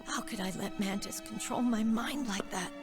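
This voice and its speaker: A woman's voice: medium pitch, moderate pace, over music, natural voice. An actress playing an earnest, eager but vulnerable young woman soldier in a video game, sincere and probing. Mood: remorseful.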